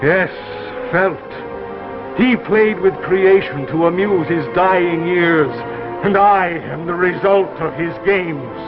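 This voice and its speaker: Casting: menacing, lovelorn robot, high-pitched and bookish, declamatory fifties radio style, dull recording with music behind. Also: male voice, slow pace. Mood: pleading, lovelorn. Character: lovestruck, menacing reading machine come alive as a man. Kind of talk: 1950s radio drama acting.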